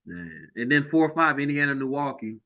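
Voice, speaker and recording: A man hosting a podcast, chatting casually about basketball in a lively way, laughing often and dropping into low mutters. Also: moderate pace. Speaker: podcast host. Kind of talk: casual sports chat. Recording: dull lo-fi sound.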